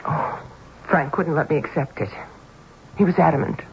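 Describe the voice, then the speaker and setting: An actress playing a fragile, anxious well-to-do wife in a vintage radio mystery, faltering and hesitant in a melodramatic style. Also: female voice, low-pitched, moderate pace. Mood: distressed. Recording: muffled, hissy old radio broadcast.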